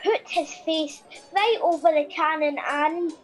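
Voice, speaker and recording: A woman's voice: sits high, unhurried, faint music behind. A woman playing a chatty, childlike Scottish soldier spinning a tale in a radio comedy play. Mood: chatty, cheeky.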